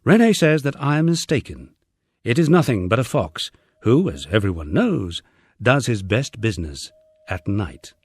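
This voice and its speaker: A man's voice: medium pitch, very animated, measured pace, husky, no room sound. A man reading a first-person memoir as an audiobook, in a wryly understated storytelling manner. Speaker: audiobook narrator.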